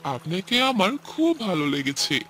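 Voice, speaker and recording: A man's voice: high voice, big pitch swings, a little slow, a bit bright, faint music behind, exaggerated voice. A man voicing a smitten, scheming young village suitor in a cartoon, exclaiming and ingratiating. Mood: eager, courting. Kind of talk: cartoon voice acting.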